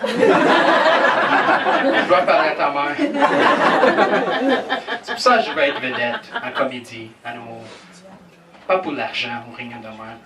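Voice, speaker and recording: A man's voice: mid-range voice, animated, unhurried, long pauses. Male stand-up, non-native speaker, crude crowd banter; noisy, roomy live recording from a distance. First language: English. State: nervous. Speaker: stand-up comedian. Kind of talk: stand-up set in a comedy club. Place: comedy club stage. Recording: echoey club from far off, noisy.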